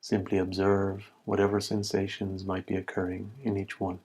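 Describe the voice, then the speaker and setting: A man with a low voice guides a body scan meditation. His delivery stays flat and even, with little rise or fall. He speaks as a calm instructor, setting out each instruction in short, measured phrases with space between them.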